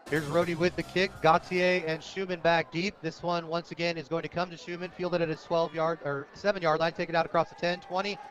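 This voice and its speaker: An American man calling high school football play-by-play on the radio, excitable when a big play comes. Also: fairly quick, few pauses. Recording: dull, lo-fi outdoor radio broadcast.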